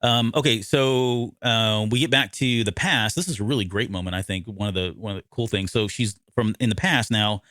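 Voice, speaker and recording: Low-voiced American man, casual podcast co-host. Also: moderate pace, few pauses, fairly bright tone, no echo, studio quality. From American Midwest. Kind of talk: casual recap chat.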